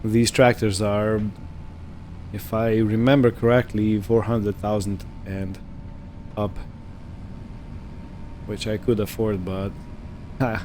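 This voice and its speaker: A man giving casual roleplay gameplay commentary, unhurried and thinking aloud as he goes. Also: on the low side, darkish tone, slight background noise. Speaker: gaming video host.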